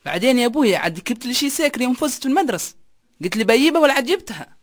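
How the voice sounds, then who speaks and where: A man's voice: very high-pitched, very animated, racing, pitched above the performer's own voice, heavily acted. A man voicing a young boy, pleading with his father for a phone. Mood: whiny. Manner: whiny pleading.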